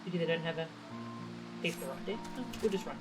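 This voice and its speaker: Casting: woman as live game streamer, relaxed offhand commentary, a bit low-pitched, game music behind. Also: fast talker. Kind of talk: casual live gameplay commentary.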